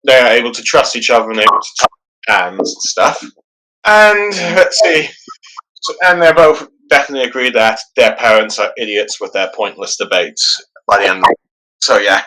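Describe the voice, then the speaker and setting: Man, podcast host, bright forceful voice, halting delivery, lots of fillers. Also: medium pitch, lively intonation, slight background noise, poor audio. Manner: halting, many "let's see" fillers. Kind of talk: casual podcast discussion.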